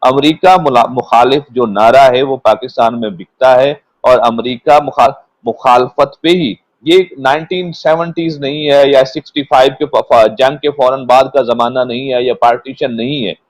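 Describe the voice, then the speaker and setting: A man's voice: mid-range voice, moderate pace, hardly any pauses, no echo, low-quality recording. Pakistani man giving dry-sounding political analysis. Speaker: guest political commentator. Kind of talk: unscripted political analysis in a panel discussion.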